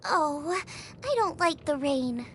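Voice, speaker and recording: A woman's voice: high voice, animated, slow, a bit bright, a little hiss or hum, exaggerated voice. A woman voicing a cheerful, eager teenage girl chef in a video game, chatty and enthusiastic. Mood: let down. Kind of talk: video game character voice lines. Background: music.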